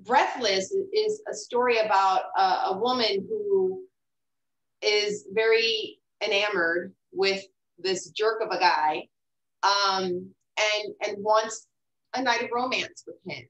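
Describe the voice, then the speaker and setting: A woman's voice: medium pitch, animated, slow pace, fairly bright tone, muffled recording. Hesitant woman in an echoey room. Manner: hesitant, repeats words, many fillers. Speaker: guest author. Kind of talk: casual interview chat.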